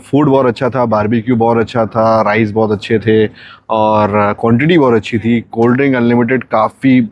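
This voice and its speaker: A man's voice: medium pitch, a little mellow, muffled recording. A young man vlogging casually outdoors on a cruise boat deck, chatty, slipping English phrases into his talk. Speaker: travel vlogger. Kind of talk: casual walkthrough vlog.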